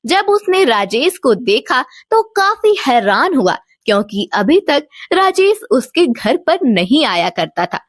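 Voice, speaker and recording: A woman's voice: sits high, big pitch swings, slow pace, few pauses, dry recording, studio quality. A woman storyteller giving scripted narration of a moral tale.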